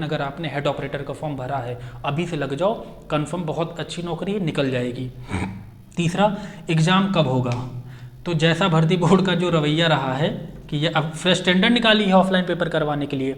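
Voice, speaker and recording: A man speaking as a coaching teacher, giving an informal explanatory update and repeating key phrases for emphasis, with many English words mixed in. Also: fairly high pitch.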